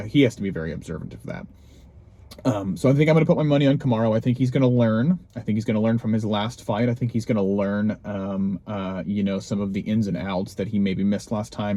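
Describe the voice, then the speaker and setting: Young male vlog host, low and mellow, loose rambling chat recorded outdoors. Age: young adult. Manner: rambling, many ums and restarts. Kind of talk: casual chat. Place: outdoors in snowy cold.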